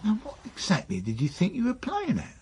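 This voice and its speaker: Stern senior detective, high husky voice. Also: male voice, huge pitch swings, darkish tone, full character voice. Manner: measured, formal, precise, stern. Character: formal, authoritative senior male police detective. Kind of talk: naturalistic radio drama acting.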